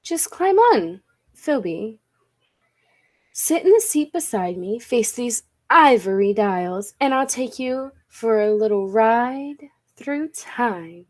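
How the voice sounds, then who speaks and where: Woman playing an assured, slow-talking lady inventor. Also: fairly high pitch, animated. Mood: brisk, commanding. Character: confident eccentric woman professor, inventor of a time machine. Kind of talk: 1940s radio play acting.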